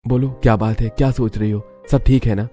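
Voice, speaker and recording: A woman's voice: a bass voice, fairly even intonation, fairly quick, mellow, soft background music. A woman voicing a doubtful young husband who questions things, in a Hindi cartoon story.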